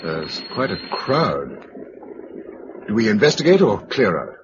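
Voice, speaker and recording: Pragmatic British male survivor-narrator, well-spoken, expressive pitch; dull old radio recording. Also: unhurried. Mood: practical. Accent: British English. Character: level-headed, pragmatic man surviving a disaster, narrating his story. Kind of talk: vintage radio drama acting with first-person narration. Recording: muffled, noisy old radio drama recording.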